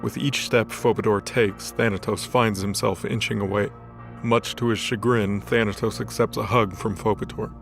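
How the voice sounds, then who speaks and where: A man's voice: on the low side, fairly even intonation, normal speed, creaky. A man reading scripted story narration as the narrator of an audio drama. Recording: soft background music bed.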